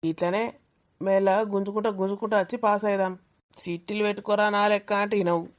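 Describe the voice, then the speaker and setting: A woman's voice: medium pitch, fairly even intonation, quick pace, natural voice. A woman voicing a cheeky, boastful young male student in a cartoon village comedy, teasing in colloquial speech with a Telangana accent. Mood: boastful, mocking.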